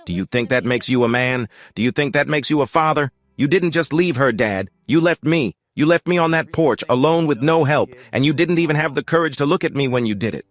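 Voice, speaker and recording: A man voicing an angry young adult daughter who shouts accusations at her father. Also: expressive, brisk, hardly any pauses, dry recording, natural voice. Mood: bitter, accusing. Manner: shouting, accusing, higher pitched. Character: furious young adult woman, bitter daughter.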